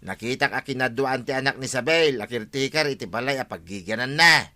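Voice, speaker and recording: Sharp, bright-voiced grumbling Ilocano villager, as acted. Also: mid-range voice, brisk, natural voice. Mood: grumbling, informing. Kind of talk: Ilocano radio comedy drama acting.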